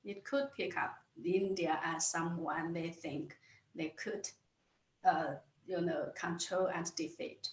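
Woman guest with a Chinese accent, slow and halting, earnest, echoey room. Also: on the low side, steady tone. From China. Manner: earnest, halting with restarts and repeated words. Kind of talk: interview answers.

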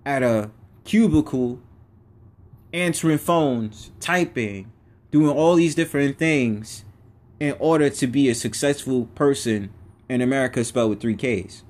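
A man hosting his own podcast, giving a casual, preachy motivational monologue in everyday slang. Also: mid-range voice. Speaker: podcast host.

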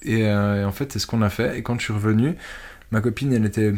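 A man's voice: fairly low pitch, fast talker, darkish tone. A man in his thirties, a musician being interviewed, talking casually with many fillers and restarts. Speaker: musician guest.

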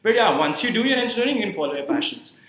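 A man, a university student talking as an interview guest, self-deprecating and quick to laugh. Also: sits high, sing-song, fairly bright tone. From India. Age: 20s. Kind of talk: casual interview. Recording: roomy echo.

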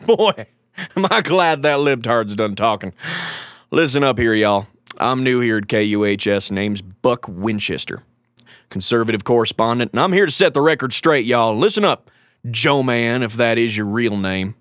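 A man's voice: mid-range voice, animated, normal speed, a bit bright, dry recording, studio quality, close to an everyday voice. A man doing a blustering gun-nut radio pundit. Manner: blustering, swaggering, mocking, swings up into whoops and jeers. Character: loud, bigoted gun-loving male conservative radio pundit. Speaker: guest correspondent on a college radio show. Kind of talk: satirical character comedy.

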